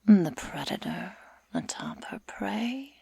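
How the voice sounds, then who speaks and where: A woman playing a sadistic, menacing werewolf girl in an ASMR roleplay, taunting in a breathy whisper with slow, mock-sweet deliberation. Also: mid-range voice, huge pitch swings, warm tone, no echo, heavily acted. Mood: predatory. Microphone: close microphone.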